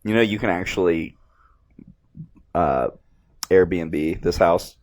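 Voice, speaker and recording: A man chatting casually and excitably as a fan, hosting a movie commentary with plenty of slang. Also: sits low, slow pace. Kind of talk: casual film reaction chat.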